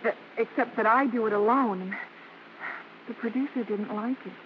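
An actress playing a proud, spirited young American vaudeville dancer, tender yet easily indignant, in theatrical 1950s radio drama. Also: female voice, fairly high pitch, normal speed, lightly acted. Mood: guarded. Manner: theatrical, emphatic. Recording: muffled, noisy old radio broadcast.

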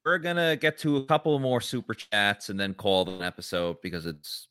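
A man's voice: lowish voice, steady tone, brisk, bright, forceful tone, clean tone. A man co-hosting a late-night sports podcast, opinionated, reading out viewer messages. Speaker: podcast co-host.

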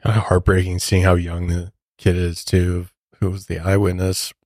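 Low-voiced man, casual and sympathetic. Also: little pitch movement, normal speed, darkish tone, no room sound, clean studio sound. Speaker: true crime podcast co-host. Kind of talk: casual reflective commentary.

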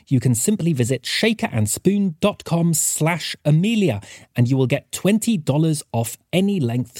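A man's voice: a little high, darkish tone, dry recording, clean studio sound. A man hosting a podcast, reading scripted announcements in an upbeat, welcoming manner. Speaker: podcast host.

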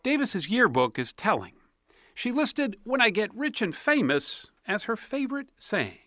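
A man's voice: high voice, big pitch swings, a little slow, pushed and bright. A man narrating a TV news feature in a polished storytelling way. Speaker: TV news correspondent.

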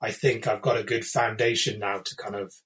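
A man's voice: fairly low pitch, little pitch movement, a little fast, fairly bright tone. Hesitant British-raised man, thoughtful. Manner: thoughtful, hesitant, many fillers and restarts. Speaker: illustrator, guest. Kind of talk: casual interview chat.

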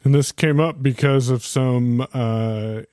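A man's voice: mid-range voice, steady tone, takes it slowly, warm tone, dry recording, studio quality. Easygoing self-deprecating male guest host. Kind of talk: casual tech chat.

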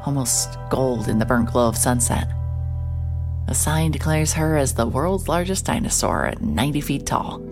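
An actress playing a wry, hard-hearted private investigator narrating a noir audio drama in a dry, deliberate way. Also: female voice, very deep voice, sing-song, over music, heavily acted. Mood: brooding, observant.

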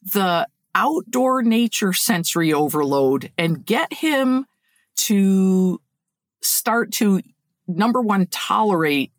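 A woman's voice: medium pitch, expressive, takes it slowly, no room sound, clean studio sound. A middle-aged woman from upstate New York, a podcast guest telling her story in a reflective, conversational way. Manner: reflective, warm storyteller with light laughs.

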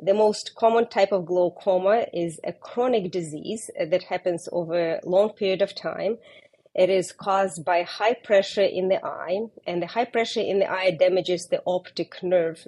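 A middle-aged woman with a Ukrainian accent, an eye doctor explaining carefully in an interview, pausing often to find her words. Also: lowish voice, fairly even intonation, mellow, breathy edge. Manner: careful, explanatory, with frequent hesitations. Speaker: ophthalmologist guest.

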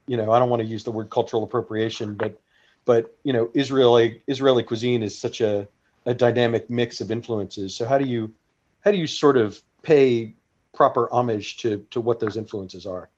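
A man's voice: mid-range voice, normal speed, a little mellow. Hedging male podcast host. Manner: hedging, says "sort of" often. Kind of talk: interview questions. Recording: dull lo-fi sound.

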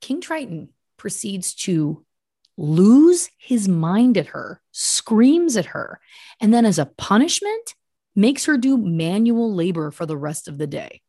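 A woman's voice: medium pitch, sing-song, slow, dark tone, dry recording, studio quality. A woman hosting a podcast, retelling a story as mock-serious true crime in an emphatic, conversational way with asides. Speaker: podcast host telling a story.

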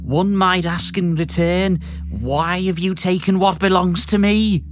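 A man voicing a menacing Liverpudlian villain who barks slow, deliberate threats in a Scouse accent. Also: medium pitch, steady tone, faint music behind, lightly acted. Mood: barking, sinister.

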